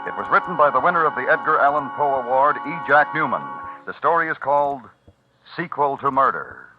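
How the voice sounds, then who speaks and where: A man's voice: mid-range voice, sing-song. Formal man announcing over vintage radio music. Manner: formal, measured, dramatic. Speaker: program announcer. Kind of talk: 1950s radio announcing, scripted. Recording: muffled 1950s radio recording with music.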